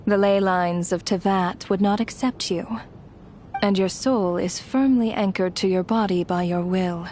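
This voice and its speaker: A woman's voice: medium pitch, fairly even intonation, unhurried, a little mellow, a little hiss or hum, natural voice. A woman playing a cold, imperious ruler of death in a video game, speaking formally and with detached menace. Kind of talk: scripted video game acting.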